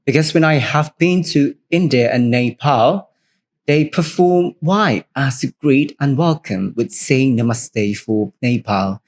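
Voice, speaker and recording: A man's voice: mid-range voice, a little mellow. A man from Thailand, a native Thai speaker co-hosting a podcast, explaining customs step by step in English with a Thai accent. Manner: plain, step-by-step explaining in second-language English. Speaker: podcast co-host. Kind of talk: explanatory cultural talk.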